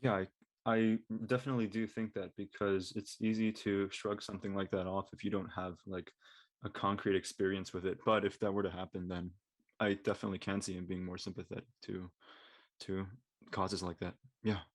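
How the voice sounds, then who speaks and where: A man's voice: deep voice, flat delivery, a little fast. A man speaking as a cast member in a panel discussion, talking his thoughts through.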